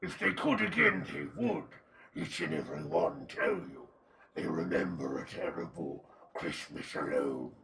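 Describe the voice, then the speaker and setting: A man voicing a horrid ghoul leader, grandly morbid, with a twisted snarl and drawn-out, grandiose delivery in a dramatized horror-comedy. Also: very deep voice, sing-song, creaky, fairly bright tone, heavily acted. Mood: quiet, ominous.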